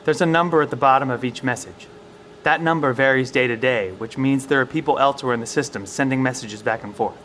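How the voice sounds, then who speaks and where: Actor as earnest bunker professor, male, mid-pitched, measured and explanatory, faint background noise. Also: fairly bright tone, natural voice. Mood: cautiously hopeful. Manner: explanatory, hesitant, reasoning. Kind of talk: audio drama acting.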